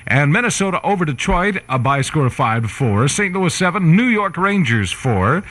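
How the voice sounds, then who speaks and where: A man's voice: on the high side, very animated, moderate pace, fairly bright tone. A man hosting as a breezy, wisecracking 1960s AM radio disc jockey with slangy patter, on a vintage aircheck. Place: radio studio.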